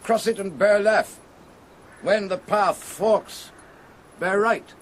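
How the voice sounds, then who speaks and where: Radio drama role: wary rustic goatherd, terse, unhurried, high-pitched for a man, slight noise. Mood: gruffly helpful. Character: sturdy, guarded forest goatherd husbandman.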